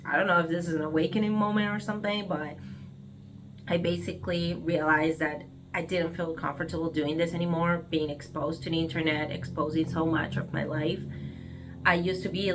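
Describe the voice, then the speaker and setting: A woman's voice: lowish voice, fairly bright tone, slight background noise. A young woman from Argentina with an Argentine Spanish accent, speaking English as a second language in a casual, reflective vlog, hesitant, with many fillers and restarts. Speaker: vlogger. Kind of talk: casual reflective monologue to camera.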